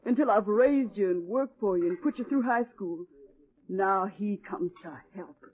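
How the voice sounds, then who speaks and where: An actress playing a weary, sharp, resentful waitress mother in an old-time radio drama. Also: mid-range voice, a little slow, lightly acted. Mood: bitter, hurt. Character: weary, bitter, possessive mother working as waitress. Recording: muffled, tinny old radio recording.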